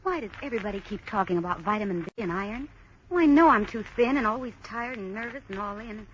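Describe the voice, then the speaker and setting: A woman playing a tired young woman in a 1940s radio commercial, talking quick and chatty. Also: medium pitch, expressive, fairly bright tone, a little hiss or hum, natural voice. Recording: dull lo-fi 1940s radio broadcast.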